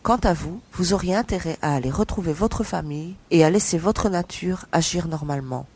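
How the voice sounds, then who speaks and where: Man as a high-voiced young woman mercenary. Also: brisk, darkish tone. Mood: firm, reassuring. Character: young woman mercenary, brisk, tough, commanding. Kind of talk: audio drama science fiction acting.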